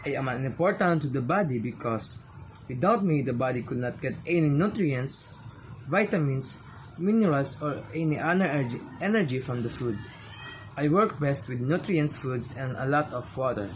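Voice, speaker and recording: A man's voice: medium pitch, expressive, moderate pace, slight background noise, lightly acted, rough recording. A man playing an earnest digestive system testifying in amateur reader's theater, explaining formally. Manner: explanatory, formal courtroom address.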